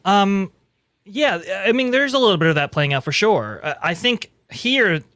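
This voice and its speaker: A man giving casual commentary in a panel discussion, speaking haltingly and repeating words as he thinks. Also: high voice, expressive, fairly bright tone, no room sound. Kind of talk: casual discussion of entertainment business.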